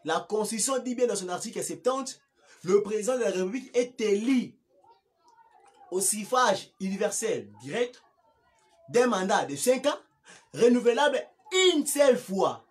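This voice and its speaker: A man's voice: sits high, expressive, normal speed. A man with a Congolese accent giving impromptu political commentary in an emphatic, repetitive way, often stumbling and restarting. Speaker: political party activist and commentator.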